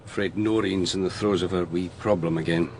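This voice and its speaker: An actor playing a brisk, formal male police pathologist with a polite, resigned manner in a radio drama. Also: sits low, steady tone, a little mellow, a little hiss or hum, close to an everyday voice. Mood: weary, resigned. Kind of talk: naturalistic radio drama acting.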